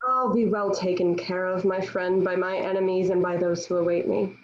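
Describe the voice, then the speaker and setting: A woman's voice: lowish voice, level tone, moderate pace, highs cut off, natural voice. A woman playing a defiant, devout young warrior held prisoner, speaking earnestly and declaiming in a table read of a historical play. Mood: calm, gracious.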